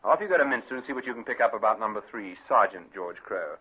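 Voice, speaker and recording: An actor playing an authoritative private detective in a classic radio drama, with an English accent and a clipped, decisive delivery. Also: male voice, fairly low pitch, animated, fast talker, slightly rough. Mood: businesslike. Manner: clipped, decisive, matter-of-fact. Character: brisk, authoritative British male private detective. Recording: dull vintage radio recording.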